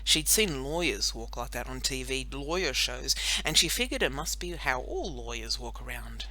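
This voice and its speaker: A woman narrating a children's audiobook in an animated storytelling style. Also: very deep voice, moderate pace, low-quality recording.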